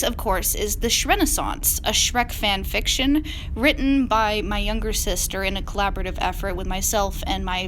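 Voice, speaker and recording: A woman's voice: medium pitch, a bit bright, poor audio. A woman hosting and narrating a theatrical reading of parody fan fiction. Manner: theatrical, deliberately garbling misspelled words. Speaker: channel host and narrator. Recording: clear background noise.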